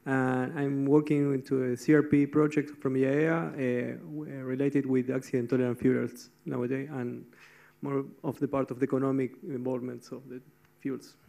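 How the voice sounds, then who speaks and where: A man introduces himself with some hesitation. His voice is gravelly and dark, and he speaks into a handheld microphone. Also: medium pitch, little pitch movement, normal speed. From Argentina. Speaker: nuclear fuel cycle specialist. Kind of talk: self-introduction. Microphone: handheld mic.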